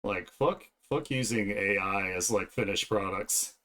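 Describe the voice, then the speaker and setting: A man's voice: fairly low pitch, measured pace, sharp tone. A man chatting casually on a livestream as he draws, with blunt, slangy, profane talk. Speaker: streamer, digital artist. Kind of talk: casual livestream chat while drawing.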